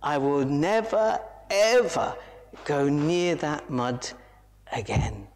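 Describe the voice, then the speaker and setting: A storyteller voicing a small, panicky male monkey in a high, anxious voice. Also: very animated, takes it slowly, a little mellow. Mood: grateful. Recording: echoey hall reverb.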